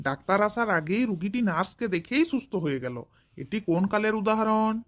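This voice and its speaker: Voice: mid-range voice, animated, brisk, natural voice. A performer voicing a stern, exasperated male schoolteacher in a comedy cartoon, lecturing and scolding. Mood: didactic. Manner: scolding, didactic.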